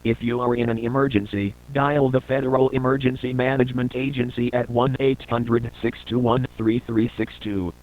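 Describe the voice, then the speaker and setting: Man, emergency alert announcer, mid-pitched, formal, flat delivery, thin band-limited broadcast audio. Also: moderate pace, hardly any pauses, phone-quality sound.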